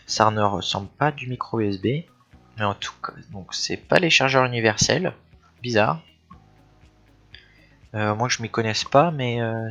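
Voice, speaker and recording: A man's voice: fairly low pitch, sharp tone. A man giving a casual product review, hesitant and thinking aloud as he goes. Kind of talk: casual unboxing and review talk.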